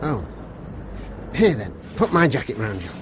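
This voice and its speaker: An actor playing a down-to-earth, good-natured chauffeur in a British radio play. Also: male voice, medium pitch, sing-song, a little slow. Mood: instructive, firm. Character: down-to-earth, good-natured, blunt working-class male chauffeur. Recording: muffled, noisy old radio recording.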